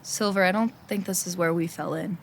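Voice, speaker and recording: A woman acting in an audio drama as a dry, sarcastic but loyal traveling companion with a deadpan manner. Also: lowish voice, fairly quick, slight background noise, natural voice. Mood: wary. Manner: deadpan, sardonic.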